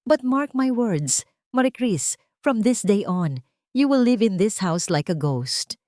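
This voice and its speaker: A woman's voice: medium pitch, very animated, slow pace, warm tone, no room sound, studio quality. A woman storyteller voicing a cold, scornful rich man in an audio drama, sarcastic and contemptuous. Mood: cold contempt.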